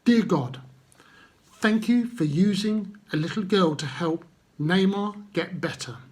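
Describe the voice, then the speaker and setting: A man telling stories to children and addressing them gently, with simple, unhurried phrasing and guiding questions. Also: sits high, breathy edge. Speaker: children's Bible storyteller.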